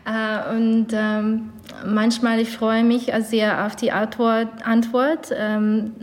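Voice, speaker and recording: A woman's voice: a little high, flat delivery, slow. A woman from Ukraine, raised in Canada, speaking German as a second language, hesitant with many fillers. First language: not German. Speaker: yoga teacher, guest. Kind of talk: interview.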